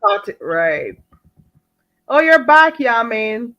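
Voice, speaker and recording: Bright-voiced woman hosting a chat. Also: fairly high pitch, sing-song, takes it slowly, poor audio. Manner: friendly, asks long rambling questions. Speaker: host. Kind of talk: casual chat.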